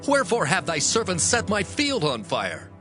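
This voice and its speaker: A loyal army commander. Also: male voice, sits high, huge pitch swings, moderate pace, sharp tone, soft background music, exaggerated voice. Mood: indignant. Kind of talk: dramatized scripture reading.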